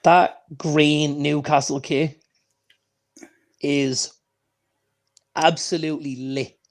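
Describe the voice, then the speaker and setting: Guy with a fairly high voice chatting sport on a podcast, keen and emphatic, says things twice. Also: flat delivery, extremely slow. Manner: enthusiastic, emphatic, repeats phrases for stress. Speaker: podcast co-host. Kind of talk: casual chat.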